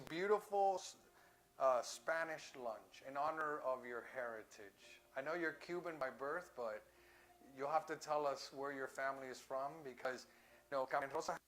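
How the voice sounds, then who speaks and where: A man's voice: a little high, fairly bright tone. Chatty male priest in a very echoey room. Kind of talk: casual conversational hosting. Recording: very echoey room, music behind.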